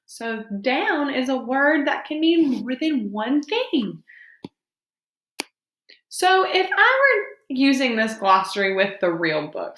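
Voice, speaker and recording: A woman's voice: medium pitch, fairly bright tone. A woman teacher doing an interactive read-aloud for young children, explaining simply and expressively with questions along the way. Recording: roomy, echoing sound.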